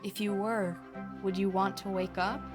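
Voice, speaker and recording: A woman's voice: medium pitch, level tone, moderate pace, over music, natural voice. A woman playing a playful, defiant young boy adventurer in an audio drama, with a blunt, childlike manner. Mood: wistful.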